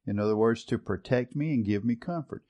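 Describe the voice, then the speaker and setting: A man's voice: mid-range voice, a little fast, lo-fi sound. A man teaching a Bible study session. He talks in an earnest, reflective way, reads and compares scripture translations, and often repeats words while he finds the phrase.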